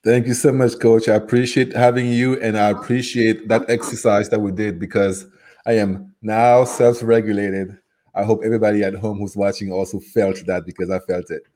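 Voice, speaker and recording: A man's voice: fairly low pitch, little pitch movement, moderate pace, mellow. A man in his thirties from Cameroon, a native French speaker with a Cameroonian French accent, talking as an upbeat, earnest interview guest. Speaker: guest, program facilitator. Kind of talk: casual interview talk.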